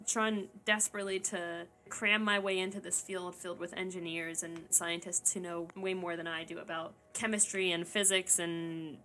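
A young woman co-hosting a casual science podcast, talking in an animated way with quick asides. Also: fairly low pitch. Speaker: podcast co-host. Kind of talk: casual chat.

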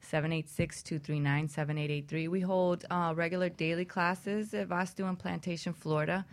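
A woman's voice: on the low side, steady tone, moderate pace. A woman co-hosting a radio talk show, giving casual announcements and plugs.

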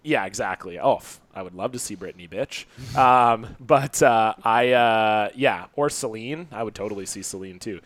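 A man's voice: on the low side, moderate pace, hardly any pauses, fairly bright tone, dry recording. A man co-hosting a podcast, telling rambling, profane stories in casual comedic chat. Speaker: podcast co-host.

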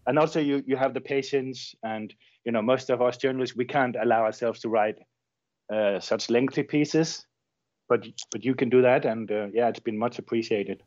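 A man's voice: medium pitch, steady tone, moderate pace, darkish tone, dry recording. Danish journalist man, dull dry sound. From Denmark. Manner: thoughtful, repeats words as he searches for phrasing. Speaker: journalist guest. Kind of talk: interview conversation.

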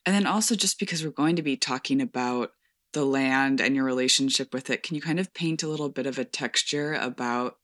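A woman hosting an interview, asking gentle, hedging questions and offering soft "mm-hmm"s. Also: extremely low pitch, brisk, dry recording, studio quality. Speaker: podcast host.

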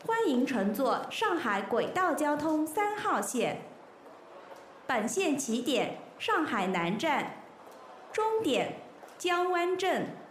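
A woman giving formal, scripted subway announcements in a welcoming, measured manner. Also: high-pitched, sing-song. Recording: echoey, noisy public announcement recording.

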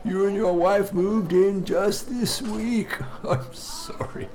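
Village reverend for a male actor: quietly sinister and knowing, very unhurried, higher voice, audio drama. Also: faint music behind. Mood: knowing, unctuous. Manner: slow, deliberate, portentous.

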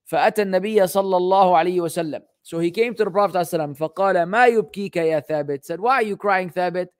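A man giving an Islamic religious lesson as a teacher, moving between Arabic text and English translation. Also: sits high. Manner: halting English delivery, rapid fluent Arabic recitation.

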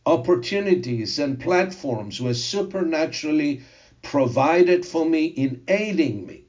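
An older man whose first language is Greek, preaching a sermon and telling personal testimony in a slow, emphatic way. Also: a little high, lively intonation, darkish tone, lo-fi sound. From Zimbabwe.

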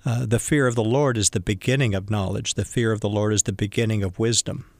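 A man giving measured, conversational religious commentary as a radio co-host. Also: fairly low pitch, steady tone, no room sound.

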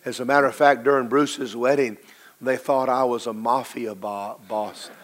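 A middle-aged man with a low, hoarse voice, preaching a sermon to his congregation with emphatic, slow, drawn-out stresses. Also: fairly even intonation. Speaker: pastor.

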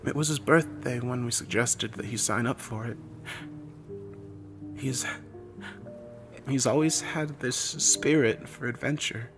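Casting: distraught daughter for audio drama, breathy, pleading, high-pitched male performer doing her. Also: lightly acted. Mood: quiet grief. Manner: halting, pleading. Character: distraught young daughter begging for her dying father. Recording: music bed under the voice.

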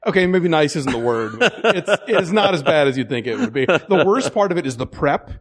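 A man's voice: fairly high pitch, lively intonation, fairly quick, husky. A man in his mid-forties from Atlanta, a guest in casual chat, talkative and self-deprecating with many restarts. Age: 40s.

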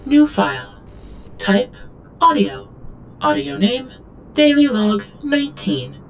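A detached, creaky female android. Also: fairly high pitch, sing-song, slow pace, soft background music, heavily acted. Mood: detached, mechanical. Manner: clipped, literal, detached recitation. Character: obedient female android drone, flatly devoted to owner. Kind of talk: audio drama log narration.

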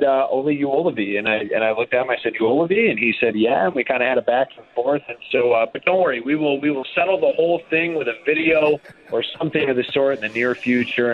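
A man talking sports as a radio play-by-play guest, conversational and wordy, with a folksy, self-deprecating way. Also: mid-range voice. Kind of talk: conversational sports interview commentary. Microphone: phone. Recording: muffled, band-limited phone line.

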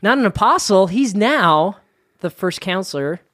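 A man's voice: sits high, expressive, a little slow, a bit bright, no room sound, clean studio sound. A man in his late thirties from Salt Lake City, a wedding DJ chatting as a guest and telling playful stories. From Salt Lake City, Utah. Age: 30s. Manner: chatty, storytelling, playful, name-dropping. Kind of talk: casual chat.

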